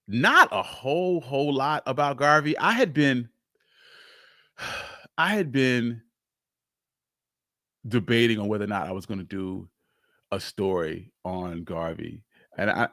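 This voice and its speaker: A man hosting a casual, unscripted live history stream, speaking candidly in a halting, rambling way. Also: lowish voice, a bit bright, dry recording, clean studio sound. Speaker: livestream host, history storyteller.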